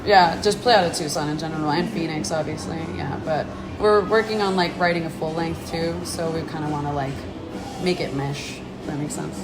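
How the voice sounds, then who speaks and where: A young woman with a husky, rough voice, talking casually and slangily in a bar about her band, often laughing. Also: deep voice, fairly quick, noisy background. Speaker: band member. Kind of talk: casual chat.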